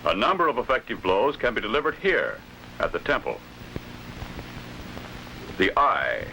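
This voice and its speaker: Bright-voiced male American training film narrator. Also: medium pitch, wildly swooping pitch. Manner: authoritative, deliberate enunciation. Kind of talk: formal scripted instructional film narration. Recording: noisy old training film soundtrack.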